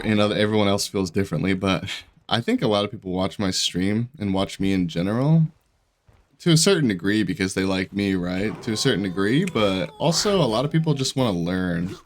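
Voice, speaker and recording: A man's voice: low-pitched, slight background noise. A young man with a stuffy, congested voice and a sore throat, chatting casually as he plays and comments on a game, rambling and restarting his sentences. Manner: rambling, self-interrupting, laughs often. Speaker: gaming YouTuber. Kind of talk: casual commentary while playing.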